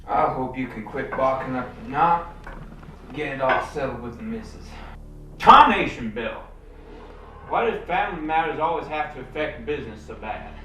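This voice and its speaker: A man's voice: medium pitch, animated, normal speed, pushed and bright, soft background music. A man playing a melodramatic, folksy old-west ranch manager worn down by family troubles in a live haunted tour. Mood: exasperated. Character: grieving, exasperated old-west ranch manager muttering over family woes. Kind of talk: theatrical haunted-tour monologue. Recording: echoey room from far off, noisy.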